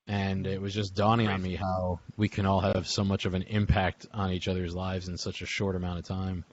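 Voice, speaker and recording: A man's voice: sits low, a bit bright, muffled recording. A man chatting casually and reminiscing on a group call, rambling along in informal, slangy talk. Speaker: podcast host and audio drama group member. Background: music.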